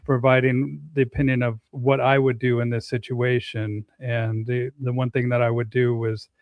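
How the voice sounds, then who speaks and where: A middle-aged man hosting a casual talk, speaking earnestly with frequent pauses and restarts. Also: medium pitch, little pitch movement, dry recording, narrow, tinny audio. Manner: earnest, halting, many restarts. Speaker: host. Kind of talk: casual conversation.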